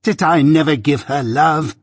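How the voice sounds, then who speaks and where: A man's voice: medium pitch, expressive, fairly bright tone, slightly rough, dry recording, clean studio sound, heavily acted. A man acting a wild, bitter old woman. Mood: bitter, anguished. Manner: impassioned, wailing, drawn-out cries. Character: bitter, wild, possessive old adoptive mother.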